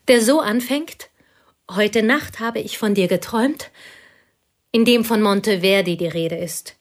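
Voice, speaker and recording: An actress plays a bitter, lonely woman who pours out old betrayals in a radio play. Her voice is hushed and intense, often sinking to a whisper. It sits at a middle pitch. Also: natural voice.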